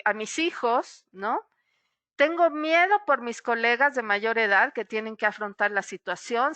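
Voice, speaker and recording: A woman's voice: a little high, bright, forceful tone, smooth, dry recording. A middle-aged psychologist woman giving a webinar talk straight to the listener, explaining patiently with frequent "eh" fillers.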